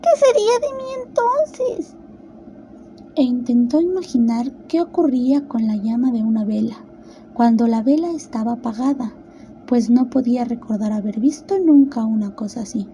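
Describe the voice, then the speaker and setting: High, very mellow woman narrating a story. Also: moderate pace, a little hiss or hum. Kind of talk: expressive scripted storybook narration.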